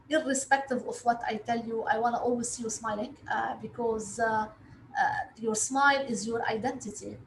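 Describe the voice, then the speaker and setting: A woman from Lebanon, a native Arabic speaker, answering interview questions in a halting way with frequent filled pauses. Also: fairly high pitch. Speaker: interview guest, investor relations director. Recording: echoey room with faint background noise.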